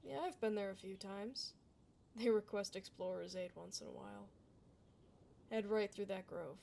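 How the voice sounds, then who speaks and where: A woman's voice: medium pitch, steady tone, moderate pace, creaky, dark tone, a little hiss or hum, natural voice. A woman playing a blunt, kind tomboy dullahan adventurer in a casual, reassuring fantasy ASMR roleplay. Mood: casual, frank. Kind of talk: ASMR fantasy roleplay, close conversational acting.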